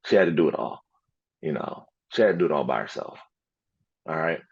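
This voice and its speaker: A man's voice: rumbling low, lively intonation, brisk, vocal fry, husky, no room sound, lo-fi sound. A man hosting a podcast who talks emphatically and repeats phrases to drive his point home. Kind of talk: podcast conversation.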